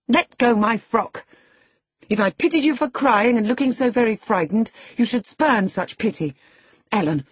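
A woman's voice: medium pitch, mellow, slightly rough, dry recording, voice pushed up, full character voice. A woman voicing a spirited, impatient young lady in an audiobook, proud, emphatic and demanding. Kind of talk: audiobook character acting.

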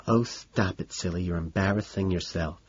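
A man's voice: on the low side, animated, moderate pace, breathy edge, no room sound, dull audio. A man voicing a pudgy, effete dog owner in his late fifties, flirtatious and fussy, with a lisp. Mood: shy flirtation. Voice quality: lisping. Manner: fussy, coy, gushing.

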